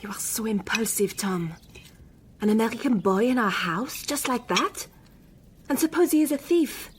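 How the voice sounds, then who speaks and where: An actress playing a cool, sceptical wife in a radio drama, speaking. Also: female voice, on the high side, expressive, moderate pace, husky. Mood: reproachful. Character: Eloise, sceptical, cool French wife of the protagonist. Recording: faint household background ambience.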